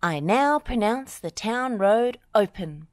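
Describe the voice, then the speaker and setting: A woman's voice: medium pitch, lively intonation, slow pace, a little mellow, no echo, clean studio sound, pitched above the performer's own voice. A woman voicing a capable, earnest female team leader with an urgent, decisive manner in a children's storybook. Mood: triumphant.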